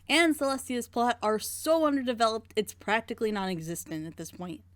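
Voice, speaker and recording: A woman's voice: fairly high pitch, big pitch swings, fairly quick, no room sound, clean studio sound. A woman hosting a casual group discussion, talkative and opinionated as she gives her critique. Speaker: host of a group reaction show.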